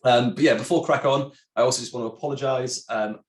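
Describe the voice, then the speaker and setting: A man's voice: mid-range voice, steady tone, fast talker. Congested man presenting, roomy echo. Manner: chatty, many ums and restarts. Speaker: sales director presenting a webinar. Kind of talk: webinar presentation.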